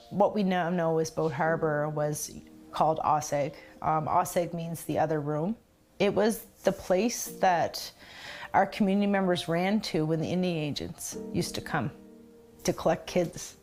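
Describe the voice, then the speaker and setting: A woman's voice: lowish voice, darkish tone. A woman telling her community's history as a documentary interviewee, reflective and conversational.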